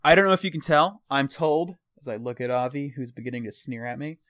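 A man's voice: medium pitch, animated, brisk, fairly bright tone, dry recording, studio quality. A man with a slight Texan accent, casually improvising a fictional world's history as a show's host, rambling and correcting himself as he goes.

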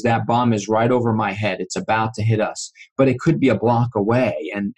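A man in his forties from Iran speaks in a low, steady voice that barely rises or falls. He tells his memories earnestly as a guest, with frequent restarts. His delivery stays measured and even. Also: low-quality recording. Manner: earnest, restarts often, vivid recounting. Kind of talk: storytelling interview.

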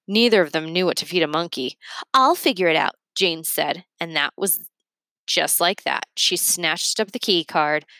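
A woman's voice: low-pitched, moderate pace, sharp tone, no room sound. A woman reading a children's book aloud as a storyteller. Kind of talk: read-aloud storytelling with character voices.

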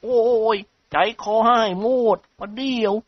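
A reader voicing a timid, panicky male servant who stammers in a high squeal. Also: steady tone, unhurried, slightly rough, no room sound, lo-fi sound.

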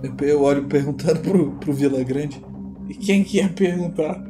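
A man's voice: a little high, moderate pace, music in the background. A Brazilian man playing a tabletop game, chatting casually and describing his character's moves. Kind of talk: casual role-play chat.

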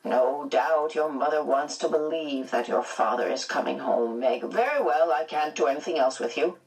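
A woman voicing a stern, prying male school principal in an audiobook, measured and admonishing. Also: sits low, a little mellow, distant mic, low-quality recording. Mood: stern, exasperated. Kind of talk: audiobook character voice.

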